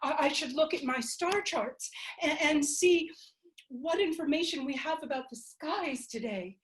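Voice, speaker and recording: A woman's voice: high-pitched, fairly even intonation, moderate pace, voice pushed up, close to an everyday voice, low-quality recording. A woman playing a driven suffragist leader. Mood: determined. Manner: earnest, urgent, planning aloud. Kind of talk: one-woman stage monologue.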